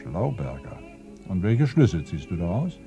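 An actor playing an older police inspector in a radio crime play, sardonic and probing, with hesitant, feigned politeness. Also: male voice, deep voice, big pitch swings, measured pace, dark tone, soft background music, exaggerated voice. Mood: sardonic, prodding. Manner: hesitant, probing, dryly teasing.

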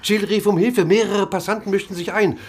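A woman's voice: medium pitch, steady tone, brisk, a little mellow, natural voice. An actress playing an indignant, concerned young woman in a radio drama, recounting things urgently.

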